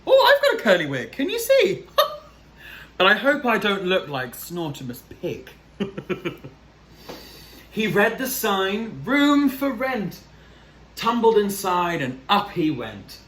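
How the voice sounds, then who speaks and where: Theatrical male storyteller, very animated high voice. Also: slow, long pauses. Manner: theatrical, chatty, addresses young viewers directly. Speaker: storyteller, drag queen host. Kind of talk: children's picture-book read-aloud with asides. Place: small plain room indoors. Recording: echoey room, light background noise.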